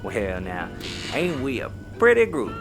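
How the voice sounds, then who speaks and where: A hospitable Southern American dad for a sci-fi comedy. His drawl is folksy and leaps up and down in pitch. Clear music plays underneath. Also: huge pitch swings, a little slow, exaggerated voice. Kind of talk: sci-fi audio comedy acting.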